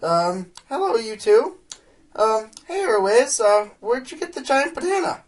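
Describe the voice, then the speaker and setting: An actor playing an excitable, self-important pony inventor who fancies being a spy, in a radio play with a theatrical delivery. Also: mid-range voice, a little slow. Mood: probing, sly. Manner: theatrical, hesitant fillers amid boasting. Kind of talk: amateur radio play acting.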